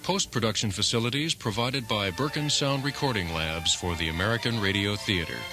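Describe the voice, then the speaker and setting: A man's voice: on the low side, fairly quick, pushed and bright. A man announcing radio drama credits in a scripted style, with music behind him.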